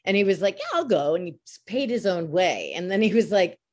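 A woman from Chicago with a lowish voice telling stories on a podcast. Her pitch swings widely as she acts out what people said, and she swears freely and casually. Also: lively intonation, brisk, darkish tone. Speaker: voice actor guest. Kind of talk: casual storytelling interview.

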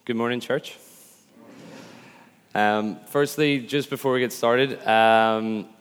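A man with a hoarse, croaky voice, humble and self-deprecating, opening his sermon as an elder candidate. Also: mid-range voice, fairly even intonation, slow. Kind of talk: sermon introduction. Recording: long reverb in a church hall.

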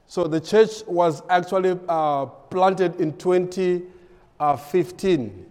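A man from Malawi, a pastor and missionary in his thirties or forties, giving a ministry update with frequent pauses and fillers. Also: high-pitched, lively intonation, darkish tone, clear, resonant tone, big-room sound. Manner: hesitant, many filler pauses.

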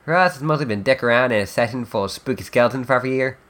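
A man voicing a chatty, flippant teenage girl in a webcomic dub, with wry, drawn-out emphasis. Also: mid-range voice, a bit bright, close to an everyday voice. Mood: breezy, flippant. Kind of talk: character dub reading of a webcomic.

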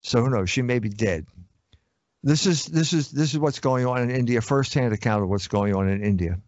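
A man's voice: mid-range voice, a little fast, darkish tone, dry recording. A man answering interview questions in an emphatic, assertive way. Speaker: interview guest. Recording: dry, dull lo-fi audio.

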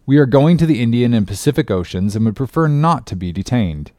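A man's voice: medium pitch, animated, fairly quick, dark tone, dry recording, lightly acted. A man voicing a young sailor in a sea novel, formal, firm and impatient. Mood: firm, dismissive.

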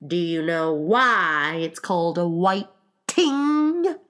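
Storybook gryphon played by a woman: bossy male beast, solemn and impatient, stretching words with emphatic pitch swings. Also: animated, slow, a bit bright, smooth. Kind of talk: storybook character reading.

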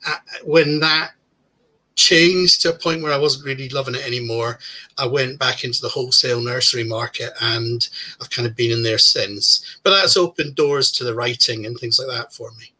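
A man in his forties, from Scotland, chatting casually as a guest about his career, relaxed and a little self-deprecating. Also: medium pitch, normal speed, low-quality recording. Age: 40s. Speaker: podcast guest, plantsman. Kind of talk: casual interview talk.

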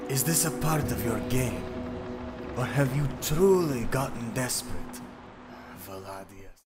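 An actor playing a weary, sinister master sorcerer, terse and measured, in a fantasy audio drama. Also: male voice, medium pitch, lively intonation, natural voice. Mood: brooding. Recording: loud music and water sounds behind.

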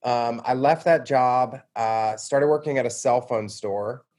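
A man's voice: mid-range voice, unhurried, darkish tone. Reflective American man telling his story. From suburban Atlanta, Georgia, United States. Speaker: interview guest, writer. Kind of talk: conversational personal storytelling.

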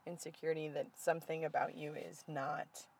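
A woman's voice: low-pitched, brisk, slightly rough. A woman in casual conversation, retelling a film's scenes with lots of quoted dialogue and filler words. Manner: animated retelling with quoted lines, frequent "like". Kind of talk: casual movie recap chat.

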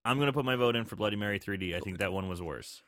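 Man, podcast co-host, bright forceful voice, blunt joking profane chat, dry close sound. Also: lowish voice, very fast, dry recording. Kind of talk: casual chat about bad movies.

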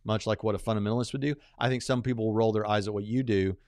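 A man hosting a podcast in casual, animated chat, often repeating words as he speeds along. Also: fairly low pitch, rapid-fire, smooth, no room sound, studio quality. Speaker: podcast host.

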